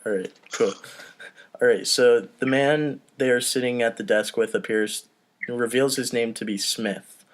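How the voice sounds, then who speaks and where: A man's voice: mid-range voice, normal speed, a little hiss or hum. A man hosting a casual table read, reading out stage directions and talking between them. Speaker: host and stage-direction reader.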